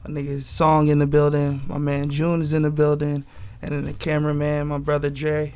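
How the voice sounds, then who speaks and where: Man, twenties, gravelly, flat delivery, laid-back slangy talk, interview guest. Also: a little high, fairly quick. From Southern California. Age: 20s. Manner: laid-back, loose slang, many fillers. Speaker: record label head, guest.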